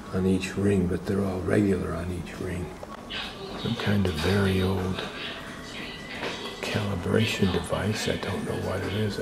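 A man's voice: sits low, gravelly. An older man narrating a walking tour as he films, musing and thinking aloud about what he sees. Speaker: travel vlogger. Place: outdoors in a city garden and a small indoor museum.

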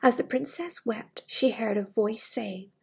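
A middle-aged woman with a breathy voice, reading a fairytale to children with gushing, chatty asides in a living room. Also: fairly high pitch, a little slow. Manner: gushing, wistful enthusiasm for the book. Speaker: children's storyteller. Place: living room with large glass doors. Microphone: device camera at arm's length.

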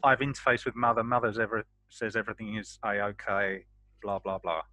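A man's voice: on the low side, little pitch movement, fairly quick, sharp tone, dry recording, poor audio. A man chatting casually as a player in a tabletop roleplaying game, speaking as a ship's captain. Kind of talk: casual in-character game chat. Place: indoors, on a group video call.

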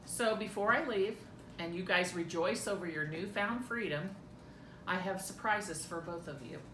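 Middle-aged woman reading lines, lowish voice, roomy. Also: normal speed, a bit bright. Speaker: amateur reader in a family script reading. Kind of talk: dramatic script read-through, playing a mother. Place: home room indoors. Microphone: camera a few feet away. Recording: roomy echo, clear low rumbling noise.

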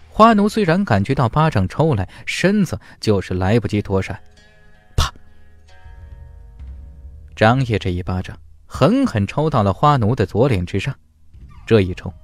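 A man's voice: on the low side, no echo. A man narrating a fantasy audiobook from a script in a dramatic, emphatic storytelling style.

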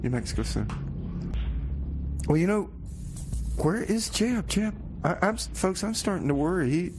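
A man's voice: high voice, big pitch swings, a little slow, noisy background. A man hosting a community radio show with casual, comedic DJ patter, rambling in a folksy way and repeating his phrases.

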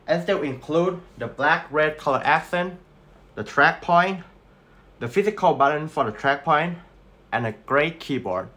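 A young man with a Thai accent, reading a scripted tech review as voice-over and listing specs in an earnest, steady way. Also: on the high side. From Thailand.

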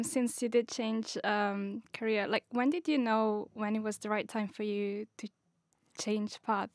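A woman's voice: a little high, dry recording. A young woman from Italy speaking politely and a little hesitantly as a questioner in a studio discussion. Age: young adult. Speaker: audience questioner in a podcast. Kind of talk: informal interview question. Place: small glass-walled radio studio. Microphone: studio boom microphone with headphones.

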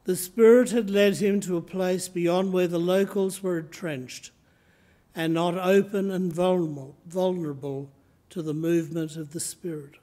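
An older man in his seventies with an Australian accent, preaching a sermon from the lectern of a church and reading slowly and deliberately from his notes. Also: high-pitched, steady tone, dark tone. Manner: slow, measured reading from notes. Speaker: priest. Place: church sanctuary, indoors. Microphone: lectern microphone, camera in front.

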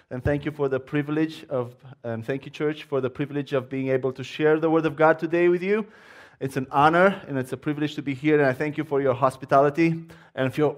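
Romanian-accented man preaching with fervent earnestness. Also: a little high. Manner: earnest, fervent, with frequent restarts. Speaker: guest preacher. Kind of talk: sermon. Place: church sanctuary.

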